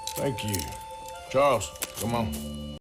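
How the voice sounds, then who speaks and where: An actor playing a gruff, world-weary Old West outlaw in a video game, blunt and dry. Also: male voice, mid-range voice, slow pace, over loud music. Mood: menacing. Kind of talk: video game cutscene acting.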